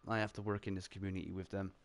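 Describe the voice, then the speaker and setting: A man with a British accent, hosting a casual round-table chat about games, talking in quick bursts, often laughing and filling with "like". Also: deep voice, vocal fry, a crowd in the background. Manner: animated, chatty, laughs often, heavy filler "like". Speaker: streamer and show host.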